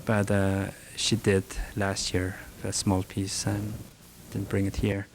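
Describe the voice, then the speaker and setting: A middle-aged man from northern Afghanistan with an Afghan accent, speaking halting English with many restarts while answering questions at a library talk. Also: deep voice, completely flat delivery. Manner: halting, frequent restarts and fillers, soft-spoken. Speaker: rug merchant giving a talk. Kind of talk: answering audience questions at a presentation. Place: library meeting room. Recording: faint background hiss, archival recording.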